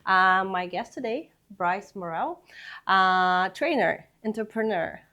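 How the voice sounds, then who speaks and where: Podcast host lady, middle pitch, friendly questions, lots of uhs. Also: slow pace, sharp tone. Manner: friendly, encouraging, many filler sounds. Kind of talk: casual interview hosting.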